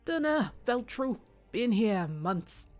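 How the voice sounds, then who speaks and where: A woman's voice: on the high side, sing-song, takes it slowly, darkish tone, soft background music. A woman voicing a small, shy, childlike male satyr who talks in timid, clipped phrases in an audiobook. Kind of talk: audiobook character acting.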